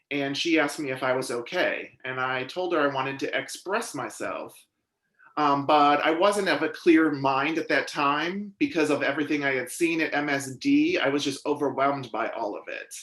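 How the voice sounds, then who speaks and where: A man's voice: mid-range voice, lively intonation, normal speed, fairly bright tone. Man interpreting testimony in an echoey room. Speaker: sign language interpreter voicing a deaf witness. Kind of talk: voiced testimony at a legislative hearing. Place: hearing room.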